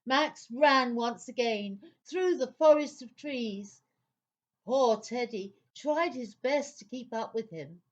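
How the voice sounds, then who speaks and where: Female narrator, theatrical children's storytelling, very animated pitch, measured pace, slightly dull recording. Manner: theatrical, suspenseful.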